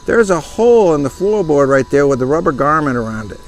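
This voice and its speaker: A man's voice: fairly high pitch, very animated, fairly quick. Folksy man rambling through a how-to. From Southern California. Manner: rambling, self-correcting, folksy. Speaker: vlogger. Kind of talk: casual how-to vlog. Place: outdoors by a truck camper.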